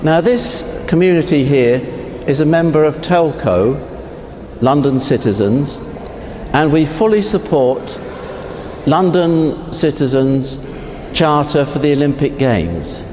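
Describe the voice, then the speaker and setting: A man, a parish priest, giving a prepared statement for a video letter, speaking slowly and haltingly, with pauses and restarts. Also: fairly high pitch, lively intonation, darkish tone, big-room sound, slight background noise. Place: church.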